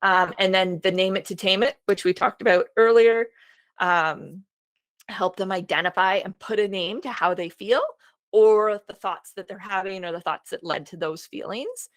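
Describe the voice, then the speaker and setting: A woman walks parents through a homeschool lesson in a relaxed, reassuring webinar voice. Her voice sits at an ordinary middle pitch, and she fills pauses with soft "um"s. She sounds a little worn, as if she is starting to lose her voice. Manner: chatty and reassuring, frequent "um" fillers. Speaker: homeschool program co-founder presenting a webinar. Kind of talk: informal instructional webinar talk.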